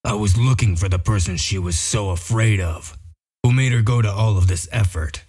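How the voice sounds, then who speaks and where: A man playing a gruff, dry-witted detective in a comic dub, explaining things in a matter-of-fact, wry way. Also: lowish voice, moderate pace, darkish tone. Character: Bigby Wolf, gruff dry-witted male detective sheriff. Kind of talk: amateur comic dub acting.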